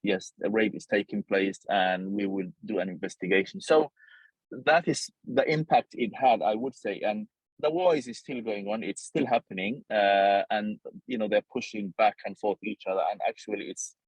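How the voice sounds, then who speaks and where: A man's voice: lowish voice, steady tone, moderate pace, no echo, rough recording. A man with a Somali accent, speaking as a journalist in an interview, reflecting earnestly and sometimes repeating his phrases.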